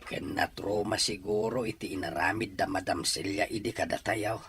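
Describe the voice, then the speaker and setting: A man's voice: fairly low pitch, fairly even intonation, normal speed, crowd noise, natural voice. A man with a Filipino accent playing an earnest, middle-aged widowed father in an Ilocano radio-style drama. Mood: worried. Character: worried widowed father, stern and plain-spoken. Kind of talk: Ilocano audio drama acting.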